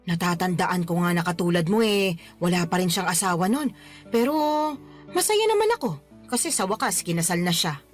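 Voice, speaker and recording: A woman's voice: on the low side, animated, brisk, faint music behind, natural voice. An actress playing a blunt, teasing, protective Filipino mother in a radio drama, speaking in an exclamatory, motherly way with a Filipino accent. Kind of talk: Tagalog radio drama acting.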